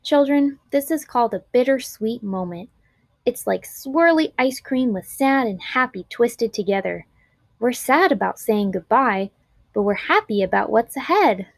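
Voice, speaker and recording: Lady reading as a kind patient teacher in a kids storybook, gentle n encouraging, highish voice, dry close sound. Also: lively intonation, measured pace, no room sound. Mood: gentle, reassuring. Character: kind, patient woman schoolteacher encouraging her young class. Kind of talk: children's storybook read-aloud.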